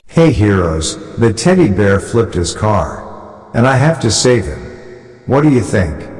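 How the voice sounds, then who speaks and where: A man's voice: fairly low pitch, sing-song, a little slow, darkish tone, long reverb tail, soft background music, poor audio. A man playing a kindly, heroic father lion in a children's toy story, speaking in simple phrases to young listeners. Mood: rousing, resolute. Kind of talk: children's bedtime story acted with toys.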